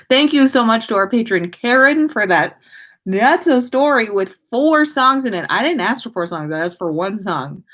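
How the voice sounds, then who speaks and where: A woman's voice: fairly high pitch, expressive, a little slow, fairly bright tone. A woman hosting a livestream, reading stories and chatting casually in a playful manner. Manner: giggly, playful. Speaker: podcast host reading listener stories.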